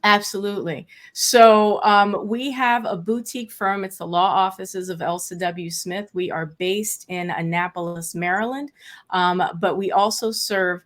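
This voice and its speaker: A woman's voice: mid-range voice, fairly even intonation, a little slow. A middle-aged woman, a native Spanish speaker, answering interview questions as an attorney in an earnest, reflective way with many fillers. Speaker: estate planning attorney, guest.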